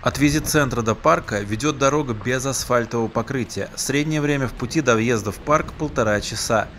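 A man's voice: mid-range voice, fairly quick, fairly bright tone, slight background noise. A man reading a scripted voice-over narration for a travel video.

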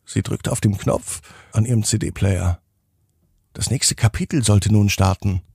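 A man narrates a bedtime podcast for children. His voice is gravelly and husky, recorded very dry, and he speaks gently to his young listeners, stretching key words. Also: on the low side, a little slow, no echo.

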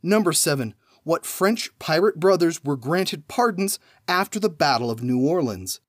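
A man's voice: fairly high pitch, lively intonation, no room sound. Man reading trivia questions, dry close sound. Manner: measured, reads each question then pauses before the answer. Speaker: trivia podcast host.

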